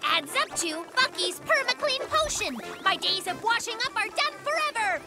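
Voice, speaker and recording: A little polar bear inventor for a children's cartoon. The voice is extremely high and swoops wildly, with music behind it. He is self-important, excitable and melodramatic. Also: moderate pace. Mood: excited, inventive. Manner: dramatic, self-important, exclamatory. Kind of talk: animated children's cartoon acting.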